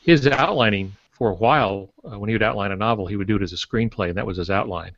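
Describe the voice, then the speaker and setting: An older man chatting casually as a podcast guest, telling anecdotes and pausing to search for words. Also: fairly low pitch, a bit bright. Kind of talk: casual panel talk, anecdotes. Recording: dull lo-fi roomy online audio.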